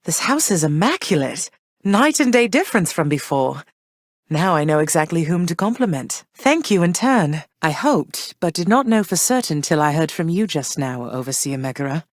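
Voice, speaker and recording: An actress voicing a gentle, gracious queen of the underworld who speaks to her son and household with warm, reassuring motherly care in game dialogue. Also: sits low, sing-song, normal speed, no echo, studio quality. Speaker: game character. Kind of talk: game voice lines.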